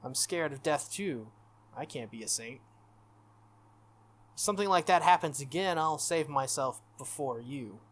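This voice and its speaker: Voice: mid-range voice, lively intonation, measured pace, long pauses. A game player voicing an earnest, stubborn, easily flustered teenage boy from a visual novel, hesitant and defensive.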